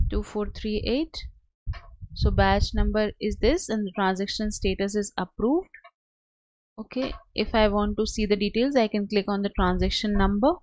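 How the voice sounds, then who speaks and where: Woman giving a halting software walkthrough, dry sound. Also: medium pitch, no room sound, rough recording. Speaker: software trainer. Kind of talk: step-by-step software tutorial narration.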